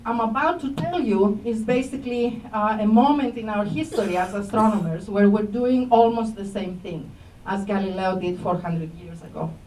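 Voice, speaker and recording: Greek lady prof giving a talk, kinda high voice, echoey room, mic a bit far. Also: darkish tone. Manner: friendly, engages audience with questions, many fillers. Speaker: astronomy professor. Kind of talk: public science talk.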